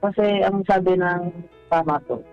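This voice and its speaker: A middle-aged man calling a radio show, telling his story casually in a halting, deferential way, repeating his phrases. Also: high voice, steady tone, smooth. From Philippines, Visayas or Mindanao. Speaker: caller to a radio advice show. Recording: dull lo-fi phone call, faint music.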